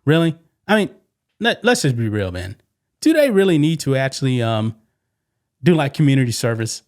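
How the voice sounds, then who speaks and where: A man with a medium-pitched voice hosts a sports commentary show. He talks through the news casually and offers his own opinions. His delivery is conversational, peppered with "man" and rhetorical questions. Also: sing-song, moderate pace, darkish tone.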